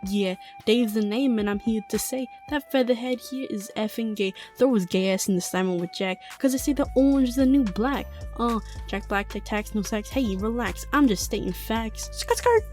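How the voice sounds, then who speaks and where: A woman's voice: on the high side, moderate pace, hardly any pauses, a little mellow, close to an everyday voice. A woman voicing a cocky, swaggering young male rapper in a comic fan dub, rapping playfully. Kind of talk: comic rap performance. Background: music.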